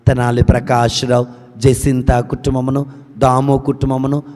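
A man's voice: mid-range voice, level tone, normal speed, dark tone. Man leading a chanted prayer, long reverb. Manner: rhythmic chanted listing, falling at each petition's end. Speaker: prayer leader. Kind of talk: intercessory prayer.

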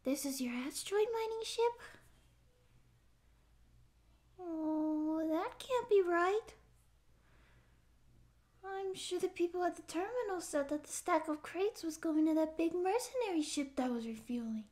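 A woman's voice: extremely high pitch, expressive, moderate pace, a little mellow. A woman voicing a timid but eager young catgirl who wants to be a space pirate, girlish and sometimes whispery, with nervous bluster that slips into meek rambling, in an audio roleplay. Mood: dismayed, stubborn. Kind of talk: scripted ASMR audio roleplay.

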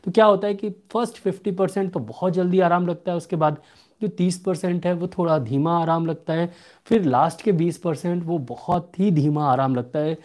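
A young male homeopathic doctor answering viewer questions in a live clinic, steady and instructive, slowing down to read questions aloud, recorded on a desk webcam in a small home room. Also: sits high.

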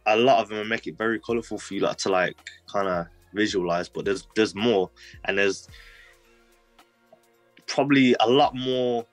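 Brief: young man, low and dry, loose rambling storyteller, faint music underneath. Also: moderate pace, no room sound. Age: 20s. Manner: rambling, heavy use of "like" fillers, street slang. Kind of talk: casual personal storytelling.

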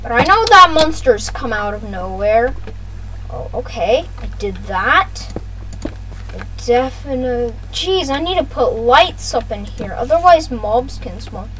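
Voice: medium pitch, very animated, measured pace, bright, forceful tone, a little hiss or hum, low-quality recording. A gaming video host giving casual play-by-play, repeating phrases and talking to viewers. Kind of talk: casual gameplay commentary.

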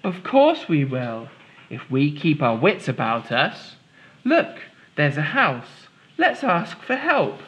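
A man voicing a small, brave, polite girl in a storybook, speaking calmly and cleverly. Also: a little high, takes it slowly, heavily acted. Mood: reassuring. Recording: dull lo-fi recording.

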